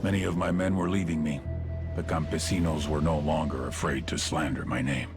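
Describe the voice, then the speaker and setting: An actor playing a brooding, menacing, proud cartel boss, speaking gravely and with command in a dramatic scripted style. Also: male voice, a bass voice, fairly even intonation, a little fast, music in the background. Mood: brooding, regretful. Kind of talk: dramatic scripted narration and dialogue.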